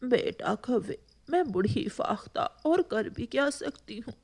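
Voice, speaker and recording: Woman as a creaky gentle old mother dove. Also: a little high, animated, measured pace, heavily acted. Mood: sorrowful.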